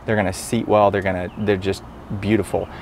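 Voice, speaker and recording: A man's voice: sits low, level tone, fairly quick, gravelly, a little hiss or hum. A talkative man with a nasal voice, an electrician explaining his work on the job in a casual, upbeat way. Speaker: electrician and instructor.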